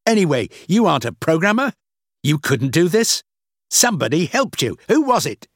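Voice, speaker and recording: A man's voice: sits high, big pitch swings, very slow, vocal fry, a bit bright, breathy edge, dry recording, studio quality, pitched above the performer's own voice, heavily acted. A man voicing an angry, arrogant, dismissive businessman fortune teller in an audiobook, blustering and impatient. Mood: irritated, impatient. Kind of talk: audiobook character acting.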